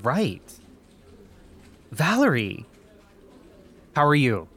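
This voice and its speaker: Young call-centre rep, guarded, dryly polite, clipped, very varied intonation, naturalistic audio comedy. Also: male voice, on the high side, big pitch swings, drawn-out words, a bit bright, heavily acted. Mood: polite, uneasy. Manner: scripted customer-service politeness, dry and clipped. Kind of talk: naturalistic radio comedy acting.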